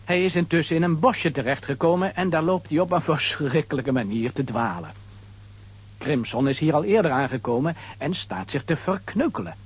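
A man with a husky voice narrating a scripted children's adventure story. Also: a little high, lively intonation, normal speed.

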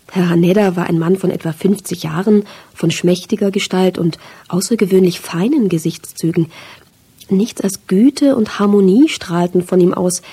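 A woman's voice: mid-range voice, dark tone, slightly rough. A woman reading a literary first-person story as a scripted audiobook narration.

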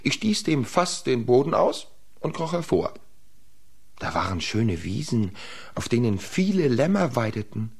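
A man playing a sly peasant trickster in a fairy-tale radio play, theatrical and husky. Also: medium pitch, very animated, a little slow, full character voice. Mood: smug storytelling. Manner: theatrical, swooping delivery.

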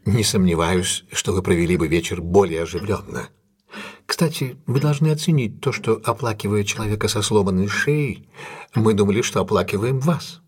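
An actor playing a shrewd, ironic gentleman detective in an audio drama, with a husky, measured, commanding delivery. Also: lowish voice, expressive. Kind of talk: Russian audio drama acting.

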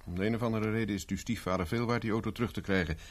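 An actor playing a persistent private investigator in a 1970s radio drama, speaking dry and insistent. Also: male voice, on the low side, fairly even intonation, racing, natural voice. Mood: pressing. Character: dogged male private investigator, cool and persistent. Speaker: private detective Carver.

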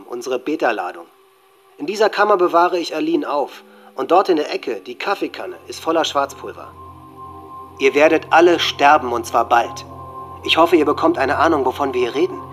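A man's voice: fairly high pitch, lively intonation, normal speed, faint music behind, natural voice. An actor playing a coldly menacing, boastful teenage male school shooter in a documentary radio drama. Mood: cold menace.

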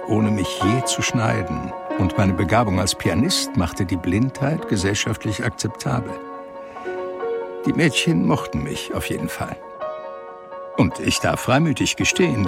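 An actor playing a reflective blind man who recounts his youth in measured, literary storytelling for an audio drama. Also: on the low side, vocal fry. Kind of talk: audio drama first-person narration.

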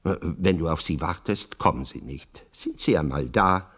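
A very deep-voiced, wry bachelor. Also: male voice, expressive, measured pace, fairly bright tone, heavily acted. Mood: cynical, teasing. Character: cynical bachelor friend, wry, urbane, reluctantly indulgent, woman-weary. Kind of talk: radio play dialogue.

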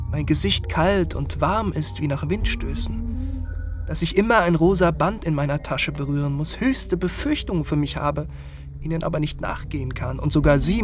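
A man's voice: on the high side, lightly acted. An actor playing an agitated, anxious young man who pleads and accuses, in emotional German radio drama style. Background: music.

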